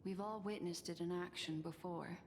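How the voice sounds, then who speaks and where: A woman's voice: lowish voice, steady tone, lightly acted. Actress as composed, enigmatic woman advisor in reverb. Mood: grave, analytical. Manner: measured, articulate, deliberate. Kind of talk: scripted video game dialogue.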